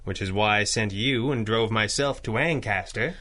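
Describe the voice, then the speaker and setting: An actor playing a calm, wealthy masked crime-fighter in a 1930s-style radio drama, articulate and dryly witty. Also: male voice, on the low side. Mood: pensive. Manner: dry, measured, articulate.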